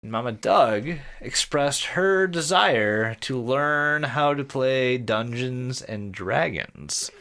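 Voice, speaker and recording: A man from the Cayman Islands co-hosting a podcast, chatting casually and telling sweary, animated stories. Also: mid-range voice, takes it slowly, hardly any pauses. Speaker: podcast co-host. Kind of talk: casual group chat and storytelling.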